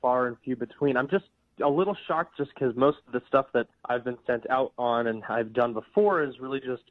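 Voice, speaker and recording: A man's voice: mid-range voice, fairly quick, dry recording. A man talking casually over the telephone, polite and hesitant, stammering at times. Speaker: temp worker taking a phone call. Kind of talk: casual phone conversation. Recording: muffled, dry phone line.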